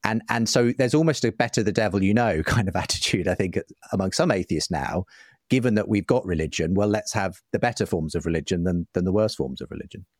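A man's voice: low voice, quick pace, no room sound, clean studio sound. A man speaking as an interview guest, explaining ideas in an articulate, discursive, conversational way. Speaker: interview guest, writer and broadcaster.

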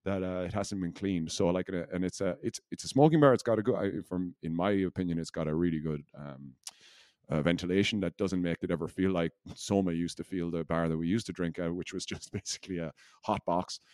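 A man with a very low voice co-hosts a casual podcast. He speaks in a loose, rambling flow, often poking fun at himself. The sound is close and dry, with hardly any room in it. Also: a little fast, darkish tone, no room sound. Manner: rambling, jokey, self-deprecating. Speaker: podcast co-host. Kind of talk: casual unscripted podcast chat.

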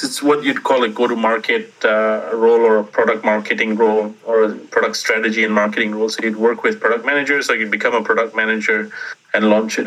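A man's voice: mid-range voice, fairly bright tone, poor audio. A man who grew up in Singapore, a startup founder telling his story as an interview guest in a reflective, meandering way with self-deprecating humor. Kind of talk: interview answer, personal storytelling.

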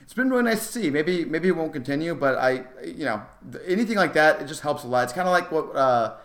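A man's voice: on the high side, lively intonation, fast, a bit bright. A man co-hosting a sports podcast, talking basketball in a casual, conversational style.

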